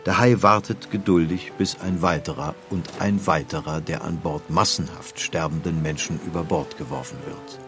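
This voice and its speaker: Male narrator for history feature, lower register, scripted read, light music bed. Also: steady tone, moderate pace, few pauses. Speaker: documentary narrator.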